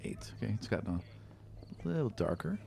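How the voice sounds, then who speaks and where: Low-voiced man running a tabletop game. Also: sing-song, a little fast, gravelly, dark tone, a little hiss or hum. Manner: conversational, loosely improvised descriptions. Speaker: game master. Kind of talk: improvised tabletop game narration.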